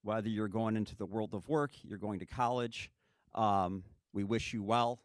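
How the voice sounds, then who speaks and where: A man's voice: lowish voice, normal speed, no room sound, studio quality. A man, the head coach, giving an earnest speech to his team at a ring ceremony, often checking in with "okay?".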